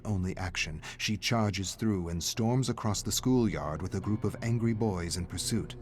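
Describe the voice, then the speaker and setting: A middle-aged man giving measured, theatrical scripted narration of a dramatic story. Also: low voice.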